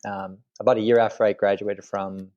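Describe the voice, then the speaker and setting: A middle-aged man from Canada speaking as a guest author, relaxed and rambling, often restarting his phrases. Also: lowish voice, a little fast, dull audio. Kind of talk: interview conversation.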